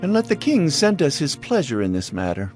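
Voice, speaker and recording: Stiff official governor writing to the king, lively voice, music behind. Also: a little high, fairly quick. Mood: officious. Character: male provincial governor, formal and officious. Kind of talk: dramatized scripture reading.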